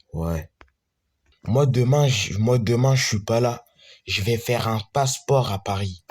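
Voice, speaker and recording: Slow, low-voiced man improvising a story. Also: a little mellow, breathy edge. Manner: halting, repetitive, restarts mid-phrase. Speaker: storyteller voicing all characters. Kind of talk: improvised homemade school story with dialogue. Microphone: screen-recorder capture.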